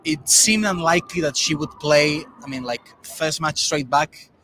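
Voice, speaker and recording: A man's voice: a little high, moderate pace, sharp tone, a little hiss or hum, poor audio. Man casually analysing tennis results. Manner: rambling, many restarts and fillers. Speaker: tennis podcast co-host reporting on site.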